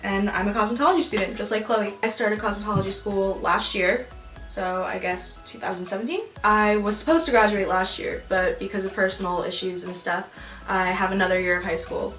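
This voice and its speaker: An eighteen-year-old woman talking casually in an interview, relaxed and full of "like". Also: mid-range voice, a little fast. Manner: relaxed, uses lots of "like". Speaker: cosmetology student. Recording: roomy echo, distant mic, faint music.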